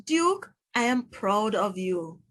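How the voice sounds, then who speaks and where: A woman's voice: mid-range voice, sing-song, slow, a bit bright, full character voice. A woman reading the lines of a proud, kindly old king in a plain storybook manner.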